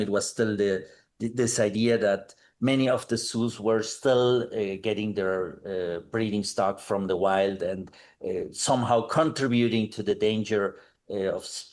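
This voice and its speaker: A man's voice: on the low side, a little mellow. A middle-aged man from Mexico City, a conservation manager answering interview questions thoughtfully, with many fillers and restarts.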